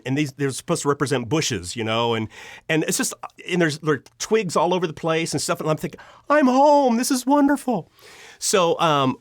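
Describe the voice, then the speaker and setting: A man's voice: fairly high pitch, dry recording, clean studio sound. A middle-aged American man telling personal stories conversationally as an interview guest, acting out bits of remembered dialogue. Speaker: podcast guest, audio drama writer. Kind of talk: animated personal storytelling in an interview.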